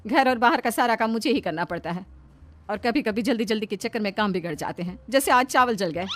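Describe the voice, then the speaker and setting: A woman's voice: a little high, expressive, a little fast, fairly bright tone, slight background noise. Woman as a plaintive, dutiful housewife. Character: harried, dutiful middle-aged housewife.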